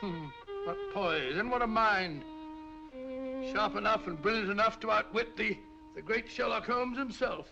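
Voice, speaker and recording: An actor playing a bluff, chatty middle-aged doctor in a 1940s film, with a hearty, blustery manner. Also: male voice, high voice, unhurried, gravelly, music in the background. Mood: reminiscing. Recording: dull lo-fi old film soundtrack.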